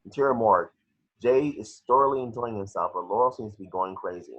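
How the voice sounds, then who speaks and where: A man's voice: on the low side, a little fast, breathy edge. A man narrating scripted action descriptions in an amateur table read, on a lo-fi home recording.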